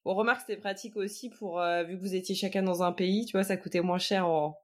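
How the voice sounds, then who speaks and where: A woman's voice: on the low side, fairly even intonation, fast talker. Woman host asking easy questions. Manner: friendly, guiding questions. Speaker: podcast host. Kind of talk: podcast interview.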